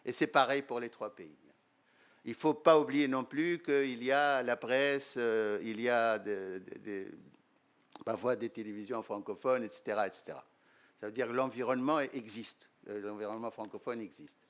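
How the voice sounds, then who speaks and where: Older Tunisian-accented man, academic guest, measured delivery with fillers, smooth resonant tone. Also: mid-range voice, steady tone. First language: Arabic. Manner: measured, hesitant with many fillers. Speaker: guest professor and writer. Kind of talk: conference interview answers. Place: lecture room.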